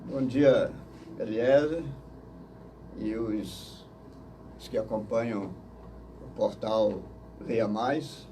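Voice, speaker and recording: An older man from Manaus, answering interview questions slowly and hesitantly, with many fillers and restarts. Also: fairly low pitch, dark tone. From Manaus, Brazil. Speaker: interview guest. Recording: distant mic with faint noise.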